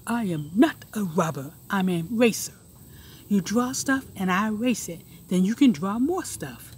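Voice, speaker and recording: A woman's voice: medium pitch, expressive, a little slow, darkish tone, a little hiss or hum, natural voice. A woman voicing an indignant, matter-of-fact chalkboard eraser defending itself in a storybook.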